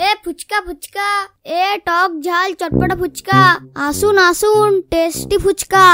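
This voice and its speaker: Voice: very high voice, measured pace, pushed and bright, heavily acted. A performer voicing a cheeky, short-tempered child street seller in a comic cartoon, with sassy sales patter and music behind. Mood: eager sales patter. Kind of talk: Bengali comic cartoon dialogue.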